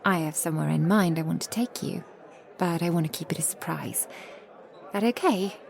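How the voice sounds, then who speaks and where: A woman voicing an affectionate, protective ancient vampire woman in a soft, intimate ASMR roleplay, with genteel old-fashioned phrasing. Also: fairly low pitch, normal speed, gravelly, a faint crowd. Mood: playful, inviting.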